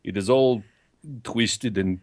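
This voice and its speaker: Chatty low-voiced man rambling on a podcast. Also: slow. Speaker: podcast co-host. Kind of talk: casual chat. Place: home recording setup on camera. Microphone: desk microphone with pop filter.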